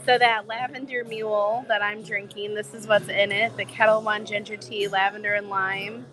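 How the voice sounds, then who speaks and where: A woman travel vlogger with a cold, her voice a bit hoarse, chatting in a bubbly, gushing way to her live viewers from a bar. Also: mid-range voice, flat delivery, normal speed, crowd noise. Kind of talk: casual live-stream chat with viewers. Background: music playing in the bar.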